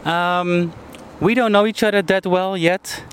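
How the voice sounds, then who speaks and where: Man hosting over clear street noise, higher-pitched voice. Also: steady tone, measured pace. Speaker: interview host. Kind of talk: casual street interview. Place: outdoors on a bench in a busy city square. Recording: clear outdoor traffic and city noise. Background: traffic and city ambience.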